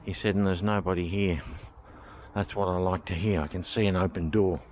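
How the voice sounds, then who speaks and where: A man's voice: low-pitched, steady tone, a little fast, darkish tone, slight background noise. An Australian man chatting casually to camera while walking around a historic village, thinking aloud as he goes. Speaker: travel vlogger. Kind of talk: casual walking tour commentary.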